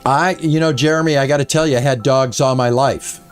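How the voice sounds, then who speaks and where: Older man in his sixties, game streamer, rambly and casual, mid-pitched voice, faint music underneath. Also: fairly even intonation, smooth. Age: 60s. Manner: rambling and chatty, with frequent "uh" pauses; soft and quiet while petting his cat. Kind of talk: casual live-stream chat while playing.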